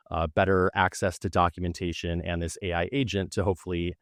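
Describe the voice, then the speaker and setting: A man's voice: sits low, dry recording, studio quality. A man being interviewed as a guest, explaining how his support team works in a conversational way. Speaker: technical support manager, guest.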